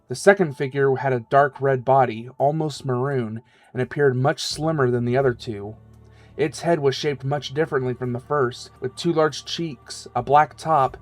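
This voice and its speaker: Male narrator, mid-pitched, scripted storytelling with music underneath.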